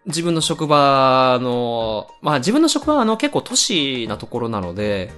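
A man's voice: medium pitch, big pitch swings, unhurried, a bit bright, faint music behind. A man co-hosting a casual radio chat, talking in a polite, self-deprecating and joking way. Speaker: semi-regular radio co-host.

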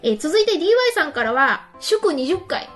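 A woman's voice: high-pitched, very animated, brisk, fairly bright tone. A woman hosting a casual radio talk show, reading out listener messages. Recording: light music behind the voice. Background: background music.

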